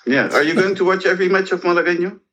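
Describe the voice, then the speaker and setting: A man's voice: sits high, rapid-fire, sharp tone. A man co-hosting a casual interview, asking questions in a jokey way. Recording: slightly dull, lo-fi audio.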